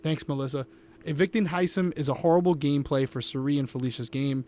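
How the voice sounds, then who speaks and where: Quick, upbeat male co-host, close on his podcast mic, talking to the chat. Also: a little high, fairly even intonation, darkish tone, a little hiss or hum. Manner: upbeat, talks to the live chat. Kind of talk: casual live watch-along commentary. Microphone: podcast microphone.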